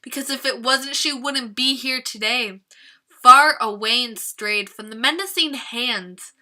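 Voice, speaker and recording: Bright, high-voiced woman performing slam poetry. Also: a little slow. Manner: dramatic, rhythmic rhymed delivery. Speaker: slam poet.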